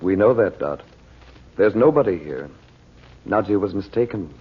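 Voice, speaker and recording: An actor playing a calm, protective magician hero, firm and reassuring in 1930s radio drama style. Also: male voice, low-pitched, moderate pace, husky. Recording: muffled old radio recording.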